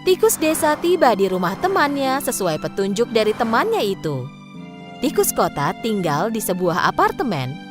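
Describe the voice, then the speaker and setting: Female narrator, children's storytelling, fairly high pitch, very expressive intonation, music in background. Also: moderate pace.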